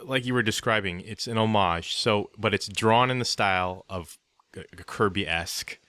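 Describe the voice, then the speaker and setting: A man co-hosting a casual review podcast, with a wry, measured way of talking and somewhat formal wording. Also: lowish voice, sharp tone, smooth, no room sound. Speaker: podcast co-host.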